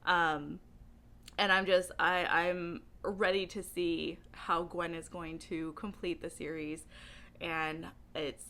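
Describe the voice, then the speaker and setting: A woman talking casually to camera as a book vlogger, with an animated, emphatic way of speaking. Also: lowish voice, takes it slowly, slight background noise.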